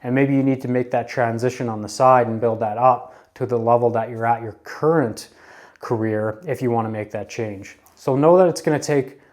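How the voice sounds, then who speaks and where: A man in his late thirties gives career advice in a relaxed, earnest way. His voice is warm and mellow at a middling pitch, and he repeats his points like a coach encouraging young listeners. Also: moderate pace. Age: 30s. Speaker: advice vlogger. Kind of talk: casual advice talk to camera.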